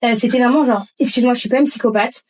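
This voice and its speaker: A woman guest on a casual podcast, telling lively stories in heavy casual slang. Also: fairly high pitch, racing, poor audio.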